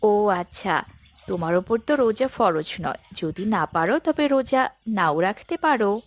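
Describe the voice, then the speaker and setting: A woman playing a kind, devout mother in a cartoon, speaking in a calm, approving and instructive manner. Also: medium pitch, a little slow. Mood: gracious. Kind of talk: cartoon dialogue.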